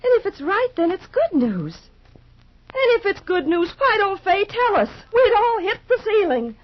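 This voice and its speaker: A woman's voice: very high voice, very animated, normal speed. An actress playing a fretful, nosy young married woman in a 1950s radio soap, whiny and insistent, with folksy grammar. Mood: exasperated. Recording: muffled 1950s radio recording.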